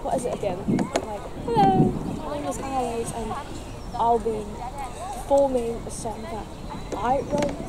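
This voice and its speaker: A young woman acting a hurt, defensive former songwriting partner in a live play, speaking haltingly and emotionally. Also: a little high, close to an everyday voice. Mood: hurt, accusing. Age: young adult. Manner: halting, emotional, repeating herself. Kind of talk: live theatre dialogue. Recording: very noisy outdoor location recording.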